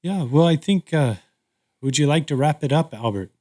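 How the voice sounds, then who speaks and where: A man hosting a reflective podcast discussion, speaking in a measured, thoughtful way with pauses between phrases. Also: a little high, lively intonation, a little mellow. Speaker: podcast host.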